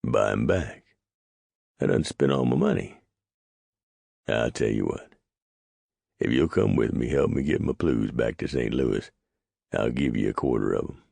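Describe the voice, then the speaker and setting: A calm, calculating young trapper as a male narrator plays him in an audiobook. His voice is very low and dark, with a heavy creak. The recording is dry and close. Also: measured pace, pauses often, no room sound, exaggerated voice. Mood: steady.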